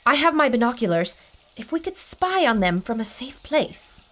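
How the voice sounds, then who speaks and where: A brave, sharp young adventurer , played by a woman. Her voice rides high and moves freely. She speaks earnestly and alertly. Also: sing-song, normal speed. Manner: earnest, alert narration and dialogue. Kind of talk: audio drama acting.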